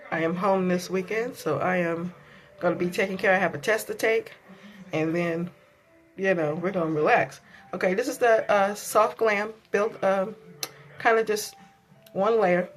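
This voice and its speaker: A woman's voice: fairly low pitch, rough recording. A woman with a sore throat and a stuffy voice, chatting casually through a makeup tutorial with many ums and likes. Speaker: beauty vlogger.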